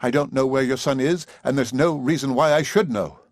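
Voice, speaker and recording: A man's voice: medium pitch, a little fast, dry recording, voice pushed up, natural voice. A man voicing a proud young wife, defiant yet shaken, speaking in a tense, clipped way.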